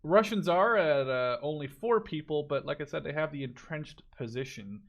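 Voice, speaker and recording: A man's voice: a little high, normal speed, creaky, fairly bright tone, dull audio. A man giving casual live commentary on a video game match, thinking aloud as he watches.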